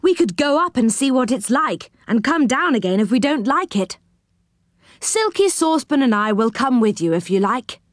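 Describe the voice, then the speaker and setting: A woman voicing a brisk, practical male character in a children's storybook, matter-of-fact and cautioning. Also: high voice, sing-song, dry recording, clean studio sound, pitched above the performer's own voice.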